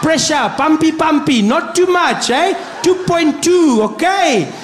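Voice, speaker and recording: Loud, patronising petrol-station customer. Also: male voice, very high-pitched, expressive, slow, reverberant, a faint crowd, slight background noise, pitched above the performer's own voice, full character voice. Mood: patronising, chummy. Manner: loud baby-talk in broken, put-on accent.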